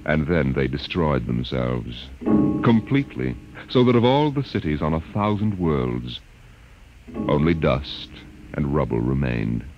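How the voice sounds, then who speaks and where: A man playing a grave, portentous narrator of a space tale, deliberate and dramatic, in old-time radio style. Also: rumbling low, big pitch swings, heavily acted. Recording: muffled old radio recording, loud music.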